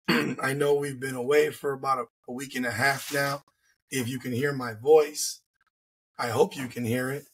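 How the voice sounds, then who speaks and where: A hoarse man, getting over the flu, hosting a casual sports podcast with wry, teasing remarks over a video call from home. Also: medium pitch, fairly even intonation, normal speed, a bit bright, a little hiss or hum, low-quality recording. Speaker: podcast host. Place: home room with shelves. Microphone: video call, headset.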